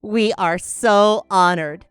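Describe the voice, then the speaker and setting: A woman's voice: medium pitch, slow, smooth, dry recording, soft background music, natural voice. A woman playing an earnest, self-satisfied campaign manager giving a formal, gushing statement in a comedy audio drama. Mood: proud, earnest.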